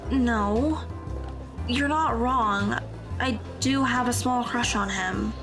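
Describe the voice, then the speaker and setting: Woman acting an earnest, high-voiced teenage schoolgirl. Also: takes it slowly, over music. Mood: flustered. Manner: tentative, questioning. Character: earnest, hesitant, easily flustered teenage schoolgirl. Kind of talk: audio drama acting.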